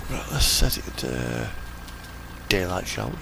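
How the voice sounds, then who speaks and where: A man's voice: low voice, huge pitch swings, a little slow, vocal fry, noisy background. A man giving casual, unscripted commentary on a driving game, rambling and thinking aloud as he goes. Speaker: gaming video host.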